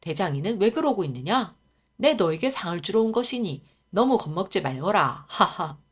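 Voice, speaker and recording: A woman voicing a young prince who speaks calmly and graciously in a storybook reading. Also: mid-range voice, moderate pace, slightly rough. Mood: kindly. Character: young male prince, calm and kindly commanding.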